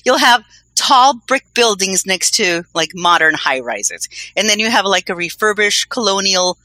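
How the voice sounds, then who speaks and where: A woman's voice: mid-range voice, lively intonation, a little slow, pushed and bright, dry recording, rough recording. A woman co-hosting a podcast, chatting in a rambling way with many fillers. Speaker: podcast co-host. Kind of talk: casual podcast chat.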